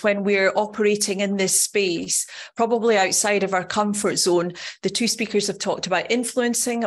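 A middle-aged woman from Scotland with a Scottish accent, giving a personal conference talk in a conversational, earnest manner. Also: mid-range voice. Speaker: nursing professor, conference speaker.

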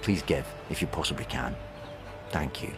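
Male appeal voice-over, very deep, sincere scripted read over music. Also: moderate pace.

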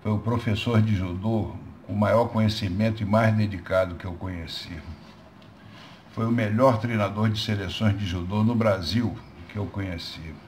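A man in his sixties giving a short tribute, measured and trailing off at the ends of phrases. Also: on the low side, fairly even intonation, a little mellow, slight background noise. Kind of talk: solemn spoken tribute. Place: indoor living room. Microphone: webcam or phone close up.

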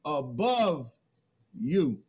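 A man playing a pleading human envoy in a play reading, halting and emphatic. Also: a little high, very animated, a little mellow, breathy edge, exaggerated voice. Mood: proud. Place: video call, indoors.

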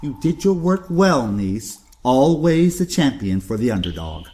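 A man's voice: mid-range voice, big pitch swings, a little slow, dark tone. A man playing a cold, condescending older uncle, a ruthless government loyalist, in an audio drama. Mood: smug, cold. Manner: condescending, declamatory.

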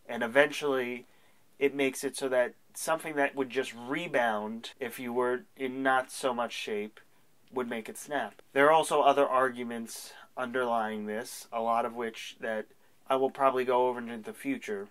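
A man's voice: mid-range voice, a bit bright. A young man with a stuffy cold, chatting casually to camera as a sports show co-host, with frequent filler sounds. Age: young adult. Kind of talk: casual solo segment to camera. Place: small room at home.

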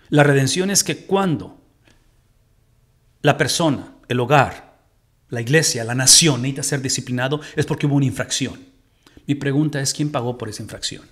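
A middle-aged Mexican man with a Mexican Spanish accent, teaching a Bible study from a home room and putting rhetorical questions to his listeners. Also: medium pitch. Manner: animated, gestures while teaching, asks the audience rhetorical questions.

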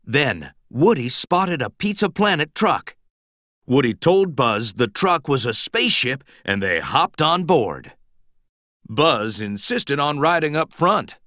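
A man reading a children's storybook aloud in an expressive, dramatic, emphatic storytelling manner. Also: on the high side, takes it slowly, no echo, studio quality. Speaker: storybook narrator.

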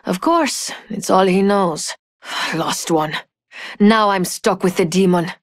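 A woman's voice: mid-range voice, takes it slowly, no echo, studio quality, natural voice. A woman voicing a proud, vengeful young thief in a video game, with a cutting, disdainful manner. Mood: scornful, bitter. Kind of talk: video game character voice lines.

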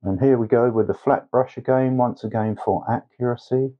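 A man narrating a painting tutorial step by step as a tutor, unhurried and with hesitant pauses. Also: medium pitch, highs cut off. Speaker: watercolour tutor.

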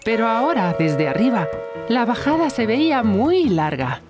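A woman's voice: on the high side, very animated, normal speed, music in the background. A woman narrating a scripted story for young children.

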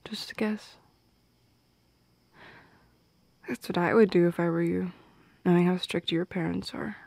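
A woman playing a shy, teasing goth girl in an intimate ASMR romance roleplay, speaking softly and breathily, often near a whisper. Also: lowish voice, fairly even intonation, dry recording. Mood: dreamy, hushed. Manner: soft, breathy, often whispering, giggly, lingering pauses. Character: shy, teasing goth girl confessing love, affectionate and playful.

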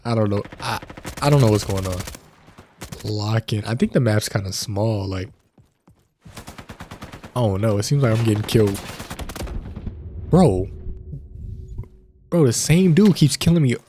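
Man with a stuffy nose, gaming host, mellow voice, chatty play-by-play, excited yelps, faint gunfire behind. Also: lowish voice, a little slow, pauses often, slight background noise. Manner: chatty, addresses viewers as fellas, excited outbursts. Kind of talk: casual gameplay commentary. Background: faint game gunfire.

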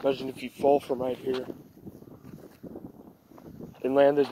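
A man's voice: medium pitch, completely flat delivery, a little slow. An American man chatting casually for an outdoor vlog, blunt, foul-mouthed and self-deprecating. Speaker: vlogger. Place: outdoors on a lakeshore. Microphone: handheld camera held by speaker. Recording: wind and outdoor noise, clicks.

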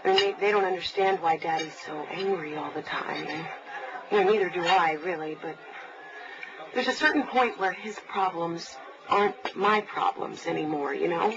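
A woman playing a nervous, chatty mother and client in a naturalistic audio drama, halting and apologetic. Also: fairly low pitch, crowd noise, natural voice. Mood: nervous, hesitant. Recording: lo-fi tape, noisy cafe.

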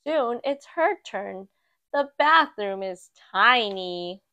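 A woman reading a children's picture book aloud in a gentle, expressive storytime voice. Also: medium pitch, dry recording, muffled recording. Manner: slow, gentle and expressive, lifting for quoted lines. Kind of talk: read-aloud storytime narration. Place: indoors at a table, book filmed from above.

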